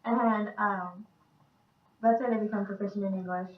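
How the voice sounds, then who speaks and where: A young woman, a university student, thinking out loud and asking questions in a casual classroom group discussion. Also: mid-range voice, steady tone, moderate pace. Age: young adult. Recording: echoey room from a distant, muffled mic.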